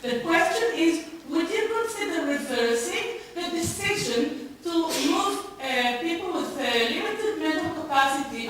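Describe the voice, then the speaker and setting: A woman's voice: sits high, a bit bright. A woman putting questions at a public meeting in an insistent, confrontational way, recorded in a large echoey room. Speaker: social worker asking a public question at a council meeting. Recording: echoey hall from far off, faint noise.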